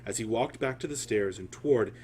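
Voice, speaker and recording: A male storyteller with a fairly low voice reads dark fantasy. His delivery is solemn and theatrical, shaped in deliberate phrases with dramatic pauses.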